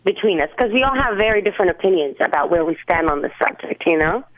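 A woman's voice: fairly low pitch, lively intonation, fast, no room sound. A woman musician being interviewed, speaking earnestly and often restarting as she searches for words. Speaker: musician guest. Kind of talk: interview over the phone. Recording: tinny phone line.

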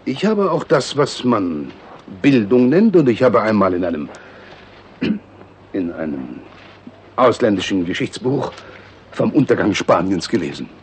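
A man playing an earnest, deferential state agent in a 1960s radio drama, formal and self-important, halting when nervous. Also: lowish voice, very animated. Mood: indignant, self-important. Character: earnest, deferential, loyal male state agent with pompous logic. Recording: noisy old radio recording.

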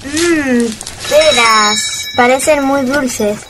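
A woman's voice: sits high, extremely slow, heavy background noise. A female performer with an Argentine accent playing a hungry, cautious child explorer in an amateur radio drama. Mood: eager, hungry.

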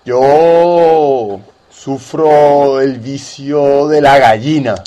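A man's voice: medium pitch, extremely slow, noisy background. A man speaking colloquially and mock-earnestly as the patient in a satirical radio sketch. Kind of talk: slow, casual satirical sketch dialogue.

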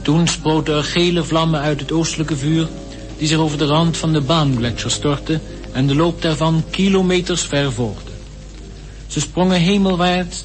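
A man's voice: a little high, natural voice, poor audio. An actor playing an observant, poetic polar explorer, narrating his journal lyrically in a radio drama. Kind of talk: radio drama diary monologue.

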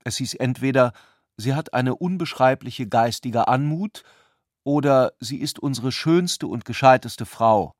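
A man reading scripted literary narration for a radio play in a measured, articulate way. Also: mid-range voice, no echo, clean studio sound.

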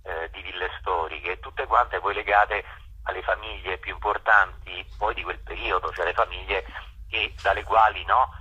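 A man speaks as a guest in an informal interview. His voice sits fairly low, with a husky grain and a very bright, forceful edge. He is enthusiastic and often halts to find the next word. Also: steady tone, normal speed, highs cut off. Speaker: interview guest, spokesman for a park conservation association. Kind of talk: radio interview, informal explanation.

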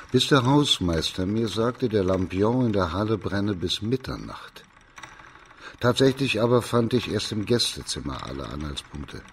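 An actor playing a composed, stern judge and investigator in a radio crime drama, measured and formal. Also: male voice, fairly low pitch, a little hiss or hum. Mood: reflective, analytical.

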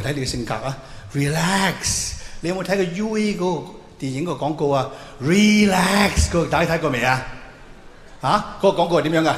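High-voiced Hong Kong man doing stand-up, echoey hall. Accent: Hong Kong Cantonese. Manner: animated, mimics characters in mock dialogues, rhetorical questions. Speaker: stand-up comedian. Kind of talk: live stand-up comedy, observational storytelling with audience banter. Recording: echoey hall with long reverb, faint noise.

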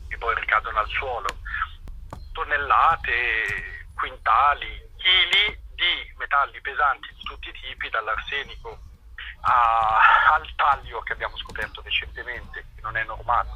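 Man with a sharp, bright voice, halting delivery. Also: mid-range voice, highs cut off, a little hiss or hum. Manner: halting, searching for words. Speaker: activist interviewee. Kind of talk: informal explanatory interview answer.

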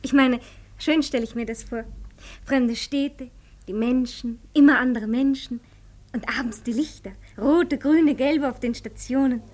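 An actress playing a dreamy, romantic young girl in a 1950s radio play, speaking with soft wonder. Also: high-pitched, unhurried. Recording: noisy 1950s radio recording with ambience.

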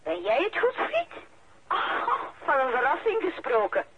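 An actress with a Flemish accent playing a guarded, hesitant secretary confiding secrets in a radio play. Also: female voice, high voice, wildly swooping pitch, unhurried, dull audio, very noisy, heavily acted. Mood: surprised. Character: female secretary, guarded but confiding office informant.

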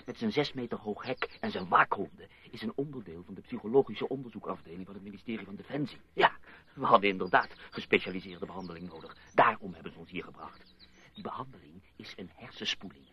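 A man playing an intense, suspicious patient in a radio drama, speaking with insistent, deliberate urgency. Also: lowish voice, lively intonation, a bit bright. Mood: urgent, conspiratorial. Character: intense, suspicious male patient convinced of brainwashing. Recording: dull old radio play recording.

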